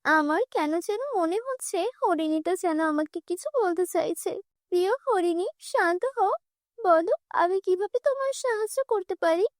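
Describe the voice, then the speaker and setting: A humble young cartoon heroine, played by a woman, with a very high voice and a dry, close sound. She speaks earnestly and pleadingly, like a good-hearted girl who is put upon. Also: moderate pace, dry recording. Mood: gentle, curious. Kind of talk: children's fairy-tale cartoon dub.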